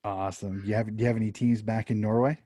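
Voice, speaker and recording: Casual: low mellow guy hosting a video-call interview, upbeat, kinda stumbly on question starts. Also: little pitch movement, fast. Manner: upbeat, repeats words when starting questions. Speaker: interview host. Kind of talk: podcast interview.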